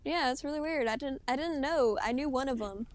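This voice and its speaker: A young woman streamer with a high voice, chatting casually and rambling, often giggling and restarting her sentences. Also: fairly quick. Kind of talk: casual gaming chat.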